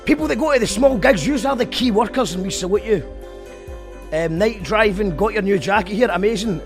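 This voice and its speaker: A chatty Scottish man hosting a live stream, his voice a touch higher than most men's. He talks loosely and often starts sentences over. Background music plays clearly under him. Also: animated, fairly quick. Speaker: host of an online live show. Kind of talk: casual live-stream chat.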